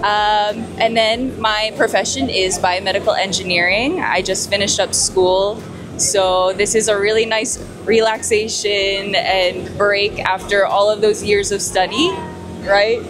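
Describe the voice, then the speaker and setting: A woman's voice: medium pitch, steady tone, a little slow, rough recording. Young us woman pageant vlogger, giggly casual chat, music going behind her. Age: 20s. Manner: chatty, giggly. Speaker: pageant contestant and vlog host.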